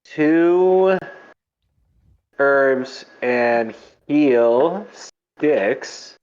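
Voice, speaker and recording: A man playing a tabletop role-playing game, speaking in a hesitant, halting way. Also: medium pitch, animated, darkish tone, highs cut off. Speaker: player.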